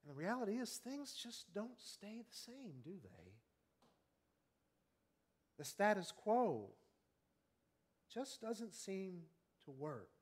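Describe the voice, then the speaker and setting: A man's voice: high-pitched, huge pitch swings. Earnest man in his fifties preaching. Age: 50s. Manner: earnest, rhetorical questions, slows to stress key words. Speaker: pastor. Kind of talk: sermon.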